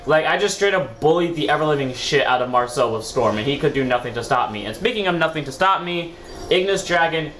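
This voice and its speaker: A man's voice: a little high, a bit bright, a little hiss or hum. A man with a sore throat who sounds sick, casually rambling through commentary on his own card game match, correcting himself often and swearing now and then. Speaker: gaming video host. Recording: echoey room, faint background music.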